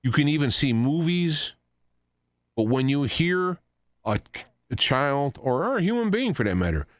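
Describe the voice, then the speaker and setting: A man hosting a radio talk show, giving an unscripted commentary in a halting, rambling way, often repeating and restarting his phrases. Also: a little high, big pitch swings, no room sound, clean studio sound. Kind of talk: unscripted news commentary monologue.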